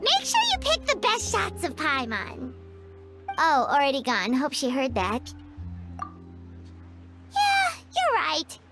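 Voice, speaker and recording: Teeny childlike game fairy, super high n swoopy, bubbly and cheeky. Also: female voice, wildly swooping pitch, slow pace, long pauses, exaggerated voice. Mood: teasing. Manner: bubbly, chatty, teasing. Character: tiny, chirpy, childlike, cheeky fairy companion. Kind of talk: cartoonish video game voice acting. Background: bouncy children's-style game music.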